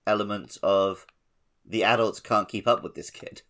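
A man's voice: lowish voice, steady tone, normal speed, fairly bright tone. A man co-hosting a podcast, talking casually about a video game. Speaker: podcast co-host.

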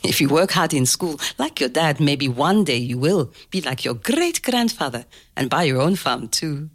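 A woman playing a proud, fond grandmother in an audio drama, telling her life story to her grandchild as an expressive storyteller. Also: deep voice, normal speed. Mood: fond storytelling.

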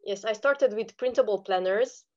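A woman's voice: mid-range voice, moderate pace, dark tone, muffled recording. A woman from Romania with a Romanian accent, answering conversationally as an interview guest. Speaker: podcast guest, online seller.

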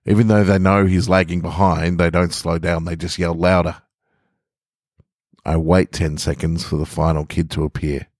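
A man's voice: low voice, little pitch movement, normal speed, a little mellow, no room sound, studio quality. An Australian man hosting and reading short stories on a podcast, his voice affected by a lingering head cold. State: getting over a head cold. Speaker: podcast host and story reader. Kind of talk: scripted story narration with casual introduction.

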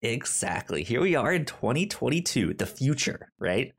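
A man in his thirties hosting a podcast in casual chat, halting, with many repeated starts and stammers. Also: medium pitch, sing-song, vocal fry, a bit bright, slightly rough. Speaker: podcast host.

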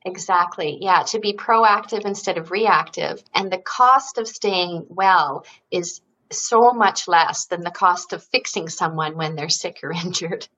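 A woman's voice: fairly low pitch, unhurried, few pauses, pushed and bright, dull audio, slight background noise. A woman from Canada, a former physiotherapist who now runs an advisory service for seniors, explaining her work fluently and earnestly in an interview. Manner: articulate and earnest, fluent explanation with occasional fillers. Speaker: guest, senior care advisory service founder. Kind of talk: interview answers.

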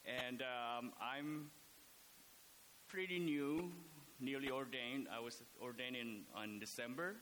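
Bright-voiced priest from Indonesia. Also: male voice, mid-range voice, slight background noise, low-quality recording. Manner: halting, many fillers and restarts. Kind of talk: casual podcast talk.

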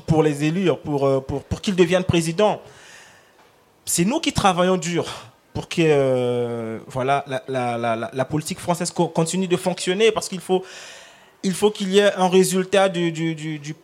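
A man's voice: fairly high pitch, normal speed, darkish tone. A man with a Congolese accent, a native Lari speaker and slam poet, explaining with emphasis and frequent repetition. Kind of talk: slam recital and explanatory talk.

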